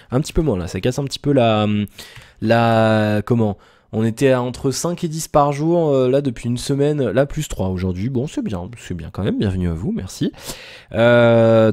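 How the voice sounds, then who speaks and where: A tired young man rambles through his daily video update, full of fillers, sighs and casual slang. His voice has a mellow, darker tone at an ordinary pitch. He talks loosely, as if just thinking aloud. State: tired, yawning. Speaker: YouTuber giving daily tech news and channel update. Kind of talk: casual vlog chat.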